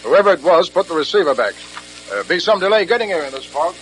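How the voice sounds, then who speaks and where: A man's voice: fairly high pitch, lively intonation, quick pace, fairly bright tone. A man playing a dutiful, matter-of-fact police officer in an old British radio mystery, with a British accent. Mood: dutiful, businesslike. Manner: matter-of-fact, questioning, deferential to superiors. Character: plain-spoken, dutiful male police officer. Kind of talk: old-fashioned British radio drama acting. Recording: noisy vintage radio recording with hum.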